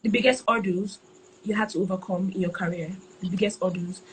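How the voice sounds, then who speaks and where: A woman's voice: mid-range voice, steady tone, fairly quick, low-quality recording. Woman hosting a live chat, mid voice, keeps rephrasing her questions, slight hiss in the background. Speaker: interview host. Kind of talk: live-streamed interview.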